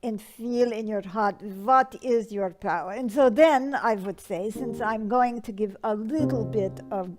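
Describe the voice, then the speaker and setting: Theatrical ghostly madame, calls everyone darling, a bit high, played by a woman over soft music. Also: measured pace, few pauses, natural voice. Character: dramatic dead old madame, flamboyant advice-giver. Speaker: advice show persona.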